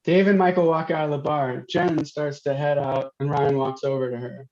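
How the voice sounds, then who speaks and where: A man's voice: on the high side, fairly even intonation, normal speed, darkish tone. Muffled male stage-direction reader. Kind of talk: scripted narration at a table read. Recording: muffled, dull video-stream audio.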